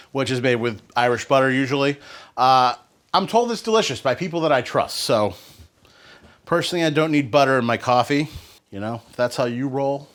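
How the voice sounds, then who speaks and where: A man's voice: medium pitch, fairly bright tone. A man hosting a home cooking show, talking casually and rambling into playful, joking asides. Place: home kitchen.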